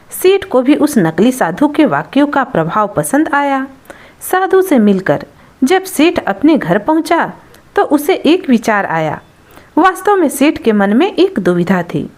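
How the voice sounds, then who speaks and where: A woman's voice: on the high side, a little mellow. A woman narrating a scripted folktale as a storyteller.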